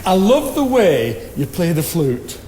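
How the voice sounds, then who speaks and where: A man acts out an eager, folksy fellow in a period stage play. His voice is very high for a man and bounces around with friendly deference. The theatre around him is echoey and a little noisy. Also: big pitch swings, measured pace, warm tone. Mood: sheepish. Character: simple, friendly, eager male visitor to a cabin. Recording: echoey theatre with background noise.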